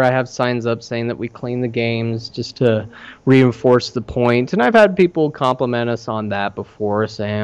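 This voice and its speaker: A man talks casually as a podcast host. His voice is smooth and clean at an average pitch, and the recording is somewhat dull and lo-fi. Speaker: podcast host and arcade operator.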